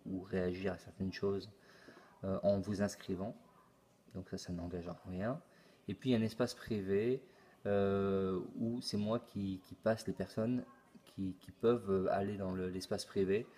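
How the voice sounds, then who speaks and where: A middle-aged man hosting his channel, explaining a forum casually, halting often and repeating words with many fillers. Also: low-pitched, mellow, breathy edge. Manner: plain explanatory tone. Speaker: YouTube channel host. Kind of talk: casual screen-recorded forum walkthrough.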